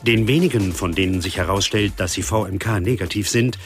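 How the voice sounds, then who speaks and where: An actor playing a formal, matter-of-fact narrator reading a scientific report in a radio drama. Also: male voice, on the low side, fairly quick, faint music behind, lightly acted. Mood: sober, factual. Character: sober, factual male narrator of a scientific report.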